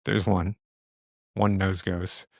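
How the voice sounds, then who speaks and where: Man, game master, unhurried pace, lowish pitch, halting casual narration, dry close sound. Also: little pitch movement, gravelly, no room sound. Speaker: game master of a tabletop role-playing game.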